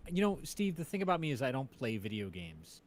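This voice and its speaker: A man hosting a stream in casual chat, speaking in an affable, halting way. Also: medium pitch, very animated, crowd noise. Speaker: stream host.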